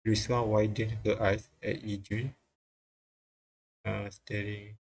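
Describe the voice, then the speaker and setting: A man reading visual novel dialogue and narration aloud on a live stream, halting and repeating lines as he goes. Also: fairly low pitch, little pitch movement. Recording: music playing behind the voice. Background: game music.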